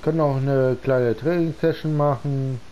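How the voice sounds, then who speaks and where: A man with a slight cold giving casual commentary on his own video game match, talking slowly with many pauses. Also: medium pitch, fairly even intonation, dark tone, slight background noise, low-quality recording. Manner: slow, halting talk with many pauses and fillers. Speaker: gaming channel host.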